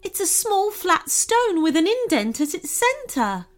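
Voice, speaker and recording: A woman's voice: extremely high pitch, sing-song, a little slow, voice pushed up, exaggerated voice. A woman voicing a kindly, anxious female goblin with a folksy, polite way of talking, in a storybook tale. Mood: distressed, fretting.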